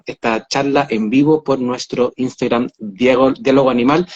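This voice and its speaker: A man's voice: medium pitch, highs cut off. A Chilean man hosting a casual online livestream, talking with a lot of hesitation and fillers over a video call. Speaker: host.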